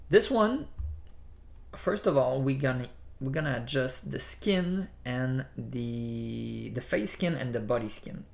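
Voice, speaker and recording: A man's voice: medium pitch, creaky. A man with a French accent, a native French speaker, walking viewers through photo editing presets in a tutorial, with frequent "you know" fillers. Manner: unhurried, explains step by step with many "you know" fillers. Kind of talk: screen-recorded software tutorial.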